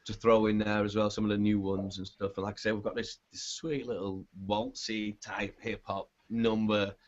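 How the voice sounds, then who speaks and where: A male band singer with a scratchy, raspy voice, chatting in a laid-back, rambling way in an interview and swearing casually. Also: fairly low pitch, flat delivery, normal speed. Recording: dull lo-fi sound.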